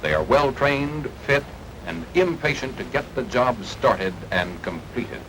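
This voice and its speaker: An American man delivers formal wartime documentary narration in the 1940s style. His pitch is mid-range but moves in lively, emphatic swings. The sound is an old archival film track with some noise beneath the voice. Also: measured pace.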